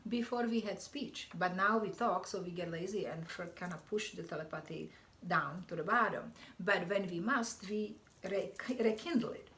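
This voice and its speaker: A woman from the Czech Republic, a guest on a talk show, answering in an earnest, halting way and restarting her phrases. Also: lowish voice, a bit bright. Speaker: guest, animal communicator. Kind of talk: interview answers. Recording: roomy echo with faint noise.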